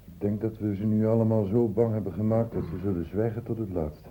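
An actor playing a tactful, earnest senior doctor in a radio drama, speaking in a measured, articulate, reasoning way. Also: male voice, lowish voice, fairly even intonation, dark tone, echoey room, a little hiss or hum, close to an everyday voice, rough recording. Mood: concerned, reasoning. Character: tactful, earnest male doctor heading a department.

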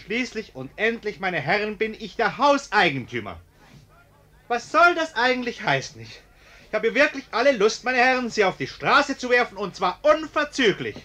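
An actor playing a pompous, self-righteous middle-aged householder in a German radio play, blustering and indignant. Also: male voice, high voice, big pitch swings, normal speed, bright, forceful tone, heavily acted.

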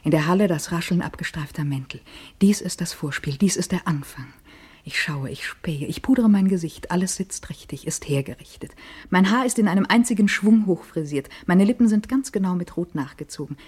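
A low, dark and breathy young society woman in a radio drama. She sounds poised, sensual and vain, delivering her monologue with intimate, deliberate care. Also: animated. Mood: sensual anticipation. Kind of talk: literary radio-play monologue.

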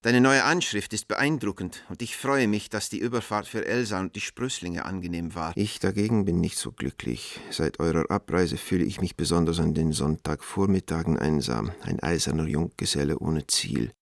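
A middle-aged bachelor art dealer with a low voice, recorded very dry. He is warm and nostalgic, speaking his letters in expressive, literary phrases for a radio drama. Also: moderate pace, vocal fry, few pauses, no room sound. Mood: wistful, enthusiastic. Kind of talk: radio play letter monologue.

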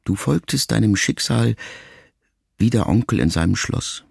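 A man reading a literary text aloud as an audiobook, in a measured, reflective way with long pauses. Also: lowish voice, lively intonation, creaky, husky, no room sound, clean studio sound. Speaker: audiobook reader. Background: soft music.

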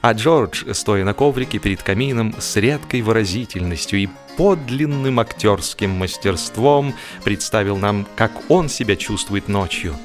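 Male audiobook narrator, lowish pitch, expressive theatrical phrasing, mock-serious, humorous scripted prose. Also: a little slow, few pauses, soft background music.

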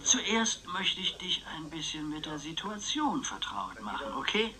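High, animated eccentric old uncle. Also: male voice, unhurried, a crowd in the background. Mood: rambling, wistful. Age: older. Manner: theatrical, rambling, teasing. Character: eccentric old uncle, self-declared psychopath, theatrical and needling. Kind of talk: radio play acting.

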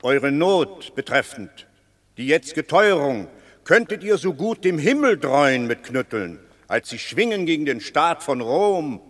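An actor playing a wily, eloquent Roman patrician in a radio drama, theatrical and rhetorical, placating rebels with fables. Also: male voice, fairly high pitch, expressive. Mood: declaiming, admonishing. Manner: theatrical, deliberate, rhetorical, swinging from hushed to declaiming. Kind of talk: classical German stage-style radio drama acting. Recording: long reverberant hall.

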